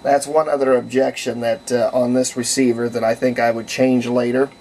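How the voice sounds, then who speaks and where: A man's voice: medium pitch, flat delivery, moderate pace, a little mellow, a little hiss or hum, low-quality recording. Folksy American man, casual hobbyist demo. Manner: folksy, colloquial, thinking aloud. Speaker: hobbyist demonstrating homemade radio. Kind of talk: casual hands-on demonstration.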